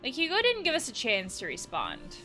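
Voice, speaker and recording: A woman's voice: sits high, wildly swooping pitch, a little fast, pushed and bright, faint music behind. A woman hosting a casual let's play, reading game text aloud and adding chatty asides as she reacts. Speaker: host of a video game let's play, therapist.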